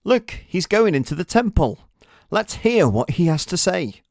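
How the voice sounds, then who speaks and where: A loud, excitable townsperson played by a male narrator calls out eagerly from an ancient crowd. The voice is pushed high and strong, recorded dry, in storybook style. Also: moderate pace, vocal fry, no echo, heavily acted. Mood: excited gossip. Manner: calling out eagerly.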